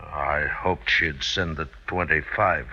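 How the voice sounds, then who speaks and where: Very deep, ponderous husband. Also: male voice, steady tone, pushed and bright, husky, full character voice. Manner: halting, ponderous, simple. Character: slow, heavy, dull-witted husband who loves food. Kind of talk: old-time radio drama acting. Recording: dull, crackly old radio recording.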